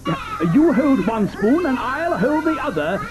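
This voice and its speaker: An actor playing an eccentric, excitable male chocolate maker in a 1980s audio drama, with theatrical, whimsical exclamations. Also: very high voice, measured pace, background noise, heavily acted. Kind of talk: 1980s radio drama acting.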